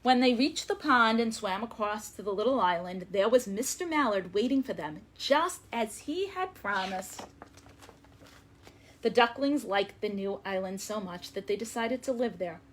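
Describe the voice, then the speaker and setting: A woman reading a story aloud to young children as a librarian, expressive and emphatic, lingering on words. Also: medium pitch, moderate pace, a little hiss or hum.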